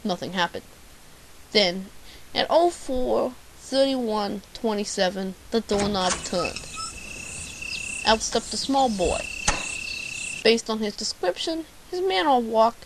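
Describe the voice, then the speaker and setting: A woman's voice: fairly high pitch, lively intonation, takes it slowly, pauses often, background noise. A woman playing a bumbling, self-important private detective in an amateur radio drama, with a pompous, theatrical delivery. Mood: self-important narrating.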